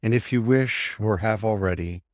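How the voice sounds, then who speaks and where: A man leading a guided meditation, giving gentle instructions in short phrases with unhurried pauses. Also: fairly low pitch, dry recording, clean studio sound.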